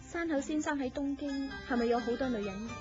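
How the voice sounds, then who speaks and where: High-voiced coquettish young mistress played by an actress. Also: female voice, fairly quick, natural voice. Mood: pouting, resentful. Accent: Hong Kong Cantonese. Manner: coquettish, pouting. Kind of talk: Cantonese radio drama acting. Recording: muffled lo-fi radio drama, music behind.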